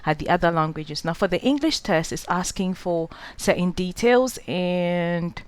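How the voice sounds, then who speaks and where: Smooth low-voiced woman from Ghana. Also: animated, unhurried. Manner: hesitant, many "um" and "uh" fillers, advising directly. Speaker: scholarship guide host. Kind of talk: screen-recorded walkthrough tutorial.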